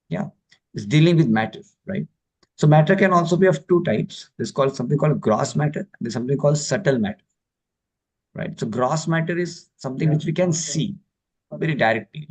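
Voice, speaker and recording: A man's voice: mid-range voice, a little mellow, rough recording. Indian man giving an online spiritual lecture. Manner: patient, explanatory, many fillers and checks for understanding. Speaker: spiritual teacher. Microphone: video call.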